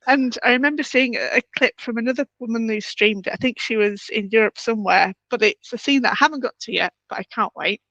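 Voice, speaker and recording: High-voiced, effusive woman interviewer, slightly muffled. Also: fast, hardly any pauses, a bit bright, no room sound. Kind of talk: enthusiastic rambling interview chat.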